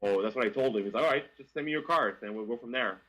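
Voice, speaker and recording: Guest, male, Quebec French accent, slightly breathy, animated storyteller with stammered restarts. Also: mid-range voice, fast talker, poor audio. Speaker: guest, car reviewer. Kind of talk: casual interview storytelling.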